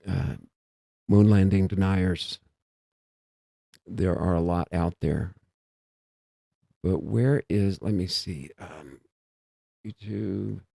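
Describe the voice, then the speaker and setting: Low gravelly man musing aloud. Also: slow, pauses often, dark tone, no room sound, clean studio sound. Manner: meandering, self-interrupting, musing aloud. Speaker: host. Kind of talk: rambling casual monologue.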